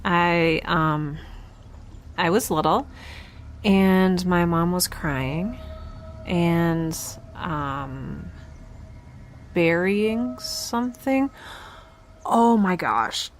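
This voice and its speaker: An actress playing a guarded, flustered young woman in a sci-fi audio drama, halting, defensive and rambling in a naturalistic style. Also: on the low side, expressive, slight background noise. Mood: hesitant, uneasy.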